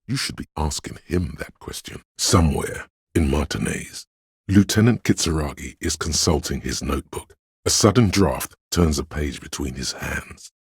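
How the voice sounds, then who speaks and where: Extremely low male voice, very raspy, dramatic game narration, measured delivery, dry close sound. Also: dry recording. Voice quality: gravelly, husky. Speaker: voice actor narrating a video game.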